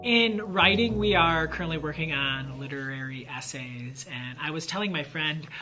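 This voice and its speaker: A man's voice: fairly high pitch, moderate pace, over music. A man who teaches fifth grade, chatting casually and enthusiastically with lots of fillers. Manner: enthusiastic, rambling with many fillers. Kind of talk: casual vlog chat.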